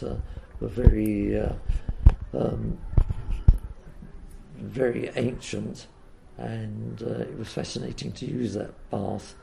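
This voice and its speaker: An older man with a croaky voice, recalling the past in an interview, hesitating often with uhs and ums. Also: on the low side, dark tone, slight background noise, low-quality recording. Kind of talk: oral history reminiscence.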